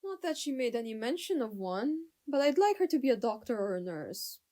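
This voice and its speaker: A stern, controlling mother with a fairly high voice, acted by a woman. She speaks in a practical, disapproving way, as in an animated family drama. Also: brisk. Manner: stern, admonishing. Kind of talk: scripted animated drama dialogue.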